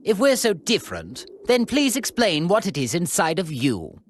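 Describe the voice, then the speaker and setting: A man's voice: high voice, wildly swooping pitch, normal speed, a little hiss or hum. A man playing a haughty, effeminate alien tyrant in an anime video game, cruelly refined, pompous and mockingly polite. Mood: smug. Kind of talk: dubbed anime game cutscene acting.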